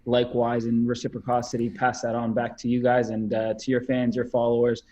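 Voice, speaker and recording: A man's voice: mid-range voice, completely flat delivery, a little fast, a little mellow, muffled recording. A man in his twenties, a podcast guest talking casually over a video call, rambling with many fillers and repeated words. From Canada. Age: 20s.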